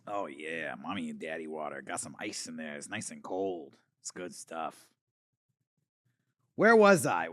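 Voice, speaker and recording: A man voicing a washed-up male alien puppet star from the eighties, rambling in a crude, boozy, confessional way with a gravelly voice. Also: rumbling low, sing-song, a little slow, a bit bright, no echo, heavily acted. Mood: bitter, then maudlin. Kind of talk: comedic character monologue.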